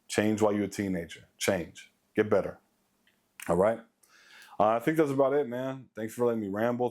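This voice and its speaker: A man in his late thirties with a deep voice, giving a blunt, profane motivational rant to his viewers as a vlogger. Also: a little mellow. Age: late 30s. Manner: blunt, profane, emphatic repetition. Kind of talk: casual motivational rant to camera.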